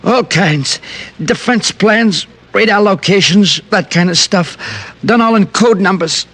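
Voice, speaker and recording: An actor playing a wounded small-time crook in a 1950s radio crime drama, grudging, terse and slangy. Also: male voice, high-pitched, unhurried, a bit bright, slight background noise. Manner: terse, slangy gangster talk.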